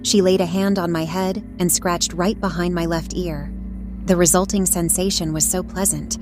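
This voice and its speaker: A woman voicing a devoted, perceptive pet cat, telling a story in a measured, earnest way. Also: on the low side, fairly even intonation, natural voice. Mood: tender, watchful. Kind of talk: first-person dramatic story narration. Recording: narration over prominent music.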